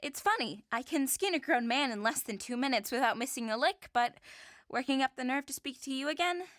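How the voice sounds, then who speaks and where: A woman's voice: high voice, expressive, fairly quick, a bit bright, no echo. A woman playing a doting, dangerous ex-military woman who stalks the man she loves. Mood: earnest. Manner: sweetly doting with a menacing edge. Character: obsessive ex-military female stalker, cheerful and dangerous.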